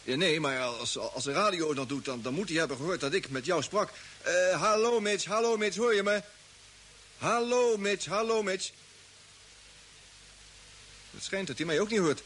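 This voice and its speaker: An actor playing an excitable, chatty young space crewman in a vintage radio play, stammering eagerly. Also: sits high, moderate pace, long pauses. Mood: anxious, puzzled. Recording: noisy vintage radio drama recording.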